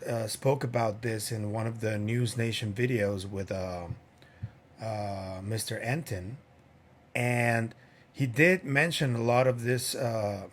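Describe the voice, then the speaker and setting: A man hosting his own podcast, musing aloud in a loose, conversational way. His voice sits at an ordinary middle pitch and he fills his pauses with plenty of ums and uhs. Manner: unhurried, many fillers. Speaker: podcast host. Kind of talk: casual solo commentary.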